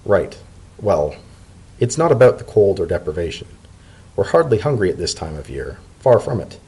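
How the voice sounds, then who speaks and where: Man playing an earnest, husky pony civil servant. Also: sits low, sing-song, dark tone, heavily acted. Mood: earnest, measured. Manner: formal, measured, sincere speech. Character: earnest, polite male pony civil servant, thoughtful diplomat.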